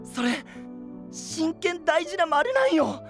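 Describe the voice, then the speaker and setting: High-pitched, boyish, earnest young knight. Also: male voice, very animated, takes it slowly, vocal fry, a bit bright, soft background music, exaggerated voice. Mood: earnest, encouraging. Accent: western Japanese dialect, Oita. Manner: heartfelt, pleading, emphatic. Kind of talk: anime-style voice drama acting.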